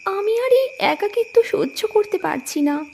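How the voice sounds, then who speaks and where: A lonely, restless young widow, as a woman narrator voices her, speaks in a very high, plaintive tone. Her slow words carry a gravelly rasp, and a faint hiss sits behind them. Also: animated, a little mellow, full character voice. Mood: despairing.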